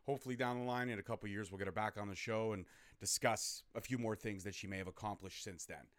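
Guy hosting, signing off all hyped, lowish voice, dry close sound. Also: quick pace, dry recording. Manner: hyped, energetic. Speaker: podcast host. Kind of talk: show sign-off.

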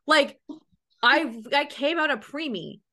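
A woman's voice: sits high, expressive, takes it slowly, sharp tone. A woman in her late twenties from Canada, hosting a casual podcast interview, chatty and swearing freely. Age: 20s. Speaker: podcast host.

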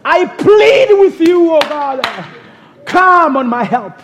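A man's voice: extremely high pitch, expressive, slow, a bit bright, a little hiss or hum. A young man, a minister, preaching and then praying fervently, crying out in pleading phrases between calls to God. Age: young adult.

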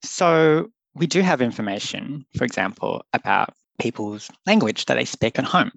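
A man's voice: mid-range voice, lively intonation, moderate pace, vocal fry, fairly bright tone, no echo, low-quality recording. A young man, a public health doctor, speaking earnestly and thoughtfully in a podcast interview, laughing now and then. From Australia. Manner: thoughtful, earnest, laughs often, loose phrasing.

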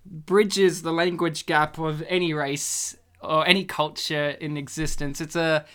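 Podcast host, male, smooth clear voice, joking and rambling through a movie watch-along. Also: on the high side, steady tone, measured pace, bright, forceful tone. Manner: jokey, rambling, laughs often.

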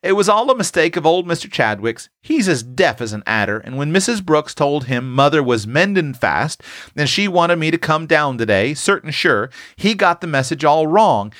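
A man voicing a brisk, gossipy, cheerful country aunt with a rural American accent in a storybook. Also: fairly high pitch, a bit bright, no room sound, studio quality, voice pushed up. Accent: rural American dialect.

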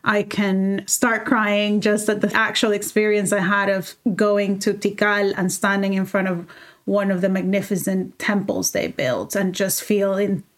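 A woman's voice: medium pitch, steady tone, measured pace. A woman, speaking as a guest in a reflective conversation, earnest and heartfelt, often restarting her sentences. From El Salvador. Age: 30s. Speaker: guest, fashion designer.

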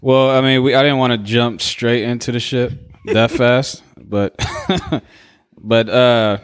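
A man's voice: mid-range voice, steady tone, slow, smooth. An American man hosting a podcast in casual, slangy interview chat. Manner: informal, slangy, swearing. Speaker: podcast host.

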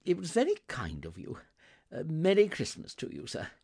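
A man's voice: on the high side, huge pitch swings, normal speed, creaky, a little mellow, slightly rough, pitched above the performer's own voice, exaggerated voice. A man voicing a giddy, delighted old reformed miser in a storybook reading, effusive and eager. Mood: earnest, grateful.

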